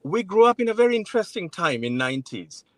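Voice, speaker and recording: A man's voice: sits high, sing-song, fast talker, no echo. A man in his forties, born in Bangladesh, speaking frankly and reflectively as an interview guest, often restarting his sentences. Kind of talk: interview conversation.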